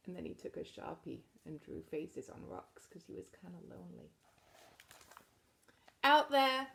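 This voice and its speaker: A woman reading a picture book aloud to children in an expressive read-aloud manner, dropping to soft, chatty asides about the pictures. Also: a little high, vocal fry. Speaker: storybook reader.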